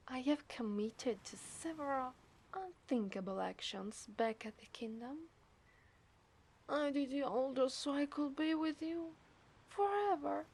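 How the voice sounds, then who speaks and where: Over faint ambient noise comes a woman acting as a possessive, lovestruck mermaid with an Italian accent. Her voice is fairly high and breathy, often slipping toward a whisper. She speaks very slowly and teasingly, in an intimate ASMR roleplay style. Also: a little mellow. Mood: dreamy, devoted. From Italy. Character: obsessive, possessive, seductive yandere mermaid.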